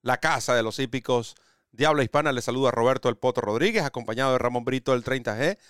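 A man's voice: mid-range voice, fast talker, a bit bright, clear, resonant tone, dry recording, clean studio sound. A man hosting a horse racing show in Spanish, presenting and previewing races.

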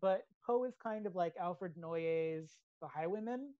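Low-voiced American woman, slightly dull recording. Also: unhurried, no room sound. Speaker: podcast co-host. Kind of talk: casual chat, pop culture commentary.

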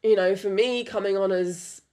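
Young woman, british accent, french-native, podcast host, rambling chatty talk, middle pitch. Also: fairly even intonation, moderate pace, dark tone. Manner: rambling, many fillers and restarts. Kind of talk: casual podcast chat.

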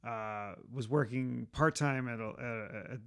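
40 yr old guy, gravelly fry in the voice, stops and starts a lot while remembering stuff. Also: mid-range voice, a bit bright. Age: 40s. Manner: halting, repeats words while remembering dates. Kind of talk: casual chat.

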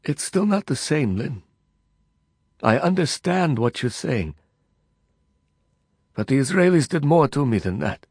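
Guy doing a bitter refugee man in an audiobook, american-arabic accent, quiet but fevered, slow and swingy, dry sound. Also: medium pitch, very animated, slightly rough, no room sound, voice pushed up, heavily acted. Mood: insistent. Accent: American vowels with Arabic. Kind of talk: audiobook dialogue reading.

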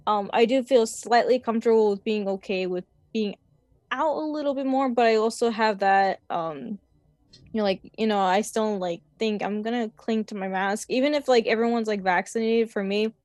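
A woman's voice: mid-range voice, normal speed, a little mellow, no echo. A young woman chatting casually as a podcast guest, rambling with lots of "like" and "um" and laughing often. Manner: chatty, many fillers and laughs. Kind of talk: casual chat.

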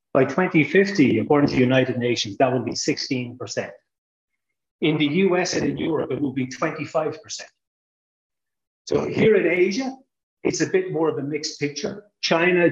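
A man's voice: medium pitch, muffled recording. Irish man explaining in an echoey room. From Ireland. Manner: measured, explanatory, with occasional restarts. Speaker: guest, technology executive. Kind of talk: podcast interview answers.

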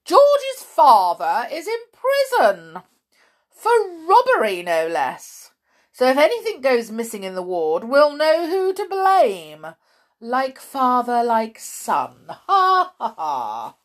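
A woman's voice: high-pitched, wildly swooping pitch, slow pace, voice pushed up, full character voice. A woman voicing a cruel, bossy hospital matron from a storybook, with a sneering, theatrically sarcastic delivery. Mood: gleeful mocking. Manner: theatrically scornful, sarcastic, snapping.

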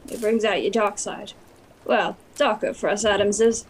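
A woman's voice: medium pitch, fairly even intonation, measured pace, slightly rough. A woman playing an eccentric old grandmother in a stage duologue, delivering dry, sardonic quips. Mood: wry.

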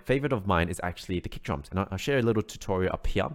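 Male vocal coach, fairly low voice, encouraging, explanatory, keeps asking "right?". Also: animated, rapid-fire. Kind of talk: online singing lesson.

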